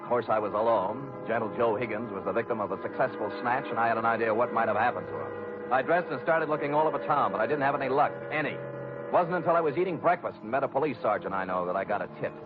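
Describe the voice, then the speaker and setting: An actor playing a wry, tough private detective, delivering clipped, deadpan lines in 1940s radio noir style. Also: male voice, mid-range voice, fast, music in the background, natural voice. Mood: wry narration. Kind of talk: 1940s radio noir acting, first-person narration. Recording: tinny old radio broadcast.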